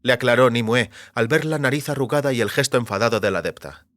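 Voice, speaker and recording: Male audiobook narrator, mid-pitched. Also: fast talker, a bit bright, no room sound, studio quality. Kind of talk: scripted narration of a fantasy novel.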